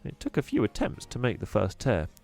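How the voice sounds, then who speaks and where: A man reading a children's book aloud in an expressive, animated way. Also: mid-range voice, brisk, vocal fry, soft background music. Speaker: storyteller.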